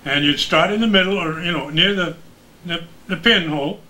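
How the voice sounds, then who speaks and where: A man's voice: fairly high pitch, normal speed, pushed and bright, poor audio. An older man, a radio veteran, casually reminiscing among friends. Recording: clear background noise.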